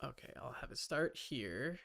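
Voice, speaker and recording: A man's voice: medium pitch, expressive, unhurried, creaky, fairly bright tone. A man giving casual live gaming commentary, muttering to himself and chatting to viewers, often repeating his words. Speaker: game streamer.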